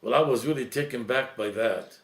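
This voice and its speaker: A man, a priest, giving an earnest, reflective talk, slowing and softening at emotional points. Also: mid-range voice, reverberant, slight background noise. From Lebanese heritage.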